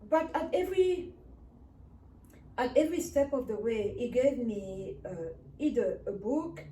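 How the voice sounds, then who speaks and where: A woman minister preaches in with a fairly high, mellow voice. She speaks earnestly and emphatically, repeating phrases for emphasis. She is recorded from a distance in an echoey room. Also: animated, measured pace. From Africa. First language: Swahili. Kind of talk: personal testimony sermon. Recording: echoey room, distant mic, faint hiss.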